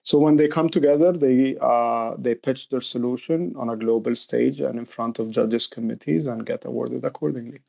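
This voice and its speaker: Middle aged guy, bit gravelly, explaining stuff earnestly in an interview. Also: mid-range voice, fairly even intonation, moderate pace, hardly any pauses, darkish tone. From Egypt. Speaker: youth program director, interview guest.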